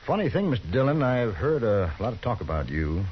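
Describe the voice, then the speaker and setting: An actor playing a calm, quietly threatening outlaw boss on an old 1950s radio drama. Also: male voice, medium pitch, fairly quick, lightly acted. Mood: menacing. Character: cool, menacing outlaw boss. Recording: dull hissy 1950s radio broadcast.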